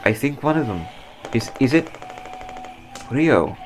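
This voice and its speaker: A man's voice: mid-range voice, animated, slow, noisy background, voice pushed up. A man reading a war story as a bitter, grieving teenage Japanese boy soldier. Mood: stunned.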